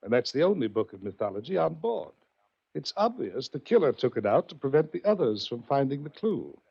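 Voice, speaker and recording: An actor playing a sly, smug puzzle-master with mocking, precise politeness, in 1940s radio mystery style. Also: male voice, medium pitch, very animated, brisk, creaky, husky, full character voice. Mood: smug, goading. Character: sly, smug male puzzle-master taunting his fellow passengers. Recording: dull old radio recording.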